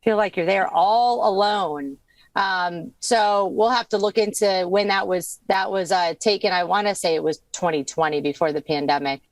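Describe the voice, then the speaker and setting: A woman hosts a podcast in a chatty, enthusiastic way, thinking out loud with fillers as she goes. Her voice is worn, as though she is losing it. The sound is dry and close, with no room around it. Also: mid-range voice, normal speed, no echo. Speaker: podcast host. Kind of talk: casual podcast chat.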